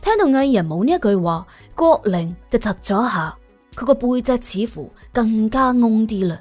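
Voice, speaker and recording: 一個女人用粵語演繹有聲偵探小說，照稿講故事，做旁白。. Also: female voice, fairly high pitch, animated, normal speed, a little mellow, faint music behind. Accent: Cantonese. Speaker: audiobook narrator. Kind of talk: expressive scripted detective-story narration.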